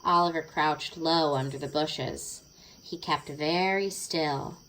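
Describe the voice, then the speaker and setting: A woman's voice: low-pitched, slow, fairly bright tone, low-quality recording. A woman narrating a children's storybook expressively, stretching words for dramatic effect. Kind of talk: expressive children's read-aloud.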